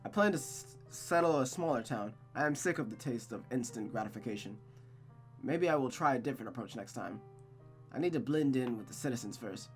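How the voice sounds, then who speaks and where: A brooding male diarist from a visual novel, read by a game narrator. He is weary and full of self-reproach, speaking at a middle pitch over background music. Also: lively intonation, a little fast, natural voice. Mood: weary, regretful. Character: melancholy self-reproaching male traveller reading his journal.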